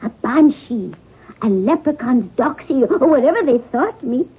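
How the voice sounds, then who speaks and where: A playful young Irish noblewoman with a high, lilting voice that leaps about theatrically. She is bold and impish, heard through the thin, tinny sound of a 1970s radio drama. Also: expressive, normal speed, slightly rough, full character voice. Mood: playful, mischievous. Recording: tinny band-limited 1970s radio broadcast.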